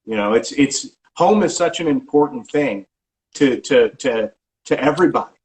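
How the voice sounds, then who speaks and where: A man's voice: mid-range voice, lively intonation, a little mellow, low-quality recording. Hesitant middle-aged male real estate agent. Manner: halting, many restarts and ums. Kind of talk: casual interview answers.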